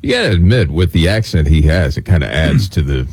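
A man's voice: a bass voice, sing-song, a little fast, darkish tone, slightly rough, no room sound, clean studio sound. A man hosting a talk radio show, opening the segment and joking wryly about the news. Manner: wry, sarcastic banter. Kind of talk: casual talk radio chat.

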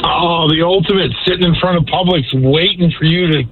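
A raspy-voiced male caller on the phone, needling the host with a correction, from outside a store. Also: fairly high pitch, fairly quick, very noisy. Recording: tinny phone line with loud crowd.

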